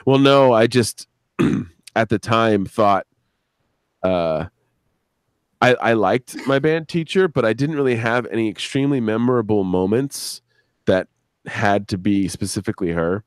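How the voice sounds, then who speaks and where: A man's voice: fairly low pitch, unhurried, smooth, no echo, clean studio sound. A man chatting casually on a live stream as a show's creator, searching for words and swearing freely. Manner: hesitant, searching for words, frank and profane. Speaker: show creator and podcast guest.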